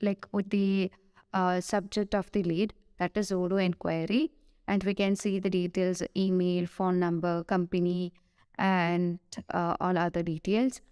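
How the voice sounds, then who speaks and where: A woman with an Indian English accent giving a screen-recorded software tutorial, hesitant, with many "uh" fillers and self-corrections. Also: on the low side, level tone, measured pace, clear, resonant tone, dry recording.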